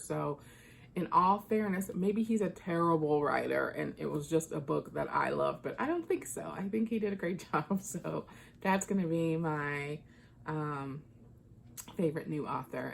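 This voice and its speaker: Low-pitched woman chatting casually about books. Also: vocal fry, a little hiss or hum. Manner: informal, conversational, thinking aloud. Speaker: book reviewer. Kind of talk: casual book chat vlog.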